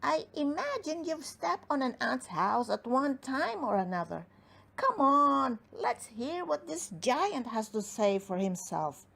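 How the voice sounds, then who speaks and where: A woman voicing a brave, calm male gnome who reassures the others and takes charge in a storybook reading. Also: sits high, slow, creaky, pitched above the performer's own voice, full character voice. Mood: reassuring.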